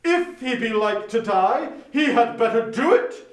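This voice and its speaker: Solemn, rebuking spirit, raised pitch, weighty oratory for a Victorian ghost story, set well back from the mic. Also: male voice, animated. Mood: stern rebuke. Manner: slow, declamatory, weighty pronouncements. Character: Ghost of Christmas Present, solemn male spirit, stern and rebuking. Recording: roomy echo, distant from microphone.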